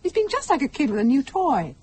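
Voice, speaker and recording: An actress playing a teasing, wry, sociable wife and hostess in a radio play. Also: female voice, high voice, wildly swooping pitch, quick pace, mellow.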